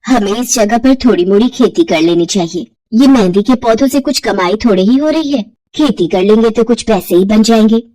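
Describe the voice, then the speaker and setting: A woman's voice: a little high, normal speed, hardly any pauses, warm tone, dry recording, voice pushed down, close to an everyday voice, low-quality recording. Woman voicing a weary, scolding, hardworking mother. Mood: practical. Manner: exasperated, nagging.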